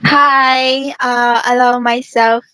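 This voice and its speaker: A young woman with a native Filipino speaker's accent talks in a fairly high voice. She gives a careful, formal account as a student guest, recorded dry without room echo. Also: little pitch movement, extremely slow, sharp tone. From Philippines. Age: young adult.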